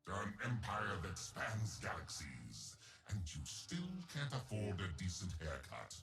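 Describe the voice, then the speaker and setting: A man's voice: sits low, very animated, a little slow, vocal fry, full character voice. A man voicing an ancient, sentient, bloodthirsty weapon in a video game, scornful and theatrical, with an otherworldly echo effect. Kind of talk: video game character voice lines. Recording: echoing voice over game music.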